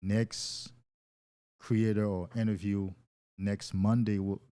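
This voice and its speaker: A man's voice: on the low side, little pitch movement, takes it slowly, mellow, clean tone, no echo, clean studio sound. A man hosting a casual live stream as a coach, talking loosely and enthusiastically to viewers and often repeating words as he goes.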